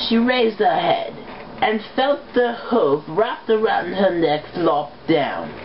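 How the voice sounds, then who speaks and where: A woman reading fan fiction aloud as an amateur, in a casual and halting way. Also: lowish voice, big pitch swings. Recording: dull lo-fi home recording, background noise.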